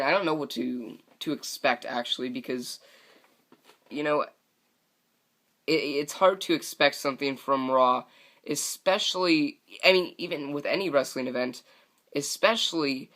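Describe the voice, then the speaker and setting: A man's voice: medium pitch, bright, forceful tone. A young man hosting a solo wrestling podcast, rambling with many fillers and restarts, his voice a little scratchy from talking all day, on a broken microphone. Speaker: podcast host.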